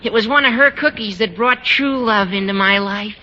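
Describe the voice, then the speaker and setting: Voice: mid-range voice, normal speed, sharp tone, lightly acted. An actor playing an earnest, lovesick teenage schoolboy who gushes naively in a 1940s radio sitcom. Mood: earnest, gushing. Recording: muffled old radio recording, faint noise.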